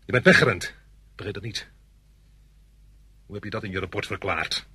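Accusing rank-and-file soldier, rough voice, fairly low, bitter, emphatic, dry sound, radio drama. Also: male voice, normal speed, bright, forceful tone, full character voice. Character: bitter, accusing common soldier confronting his officer. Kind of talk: Dutch radio play acting.

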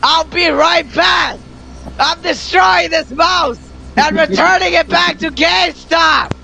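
A man yelling in pain and outrage on gaming voice chat, swearing loudly. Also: very high voice, slow pace, creaky, slight background noise. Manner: loud, foul-mouthed, outraged.

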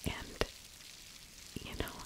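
A middle-aged woman narrates in a steady ASMR whisper, with no voice in it, only breath. She sits close to the microphone and talks in a conversational, opinionated way. Pauses break up her true crime storytelling. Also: rough recording. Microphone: close microphone with furry windscreen.

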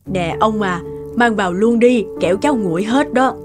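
A woman playing a kindly, hesitant elderly grandmother in a cartoon, speaking haltingly and evasively. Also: medium pitch, over music, lightly acted. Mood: gentle, busy. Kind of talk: cartoon dialogue acting.

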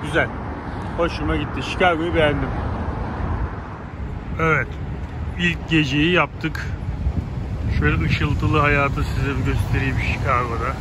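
Chatty man vlogging outdoors on a phone. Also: fairly high pitch. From Turkey. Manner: chatty, rambling, addresses viewers directly. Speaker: travel vlogger. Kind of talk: casual walking-tour vlog. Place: outdoors on a windy lakeshore and city streets. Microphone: phone held at arm's length. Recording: outdoor phone audio with traffic and wind noise.

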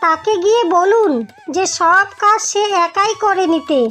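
A woman's voice: extremely high pitch, a little slow, a bit bright, faint music behind. A woman voicing a scheming young wife, one of twin sisters, in a cartoon, sweet-talking one moment and curtly rude the next. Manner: sly, sweet-talking, then rude and lazy. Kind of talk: dubbed cartoon voice acting.

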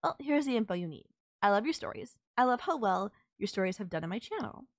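A woman's voice: mid-range voice, very animated, fast talker, dry recording, clean studio sound, close to an everyday voice. A woman playing a sultry, possessive kidnapper who gloats over and coaxes a captive in an intimate audio roleplay. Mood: gloating, possessive. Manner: teasing, coaxing, controlling.

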